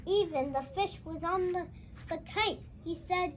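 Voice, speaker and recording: High-pitched child reading a story, halting. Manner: halting, repeats phrases, exclaims dialogue. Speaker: young host reading a storybook aloud.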